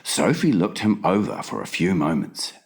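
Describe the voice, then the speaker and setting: A man's voice: sits low, huge pitch swings, normal speed, gravelly. A man narrating a children's storybook as a dramatized, scripted audiobook reading.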